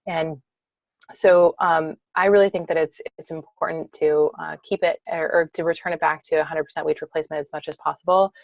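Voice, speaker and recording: A woman's voice: sits low, steady tone, fairly quick, gravelly. A woman state representative explaining a bill at an online town hall, often restarting and correcting herself.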